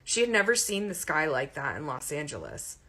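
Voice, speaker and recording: Low-pitched woman narrating fiction. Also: wildly swooping pitch, normal speed, pushed and bright. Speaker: audiobook narrator. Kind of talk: expressive narration of romantic comedy fiction.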